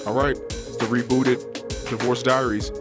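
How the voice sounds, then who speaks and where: A man's voice: medium pitch, little pitch movement, measured pace. Emphatic man ranting over music. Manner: emphatic, repetitive, profane. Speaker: podcast host. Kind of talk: unscripted personal rant to listeners.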